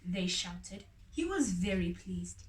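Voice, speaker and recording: A woman's voice: fairly low pitch, sing-song, slow. Woman reading a story in an echoey room. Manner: dramatic, emphatic storytelling. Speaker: storybook reader. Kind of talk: expressive read-aloud of a children's story. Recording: roomy echo, distant mic, faint noise.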